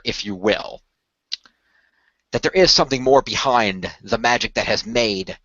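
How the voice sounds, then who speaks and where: A man's voice: fairly low pitch, pushed and bright, no room sound, full character voice. A man playing an earnest, persuasive company recruiter in a radio play, speaking formally and wordily with careful hedges. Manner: formal, wordy, persuasive, hedging. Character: earnest male company recruiter pitching secret magical project. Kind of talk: improvised radio play roleplay. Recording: dull lo-fi voice recording.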